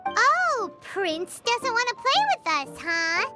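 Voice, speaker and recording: A woman voicing a tiny, blunt, chatty girl companion in a game, with a high childlike voice. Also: sing-song, slow, loud music behind the voice, exaggerated voice. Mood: pouty. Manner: calls herself by her own name, skeptical.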